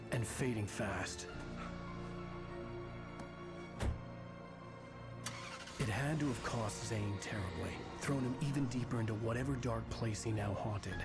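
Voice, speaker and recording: A male actor playing a haunted, weary novelist, narrating a video game in a brooding, measured way. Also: mid-range voice, close to an everyday voice. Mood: weary, grave. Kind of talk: scripted first-person game narration. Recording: loud music under the voice.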